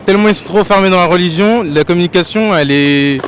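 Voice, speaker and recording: A young man hosting a casual street interview on a busy city square, relaxed and chatty with short prompts. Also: high-pitched, quick pace. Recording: faint street traffic noise.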